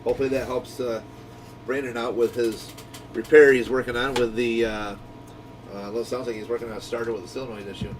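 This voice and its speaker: A man with a mid-pitched voice chats casually as host of a radio group. He is folksy and easygoing, explaining as he goes. The recording is noisy and sounds set back from the microphone. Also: level tone, a little fast, recorded from a distance. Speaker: radio shop owner hosting a walkie-talkie group channel. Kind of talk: casual instructional chat over radio.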